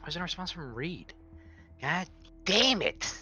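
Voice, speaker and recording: A man's voice: a little high, sing-song, a little slow, pushed and bright. A man playing a tabletop roleplaying game in casual chat, blunt and bossy, swearing freely. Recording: music under the voice.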